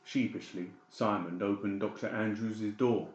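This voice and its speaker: A man's voice: lowish voice, steady tone, unhurried, reverberant, lo-fi sound, soft background music. A man narrating a horror audiobook in a dramatic, scripted storytelling style.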